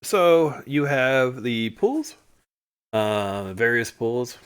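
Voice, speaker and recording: A man co-hosting a livestream, chatting casually through stories and photos from a trip, animated when he retells moments. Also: medium pitch, extremely slow, a little hiss or hum. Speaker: livestream co-host. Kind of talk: casual storytelling chat over travel photos.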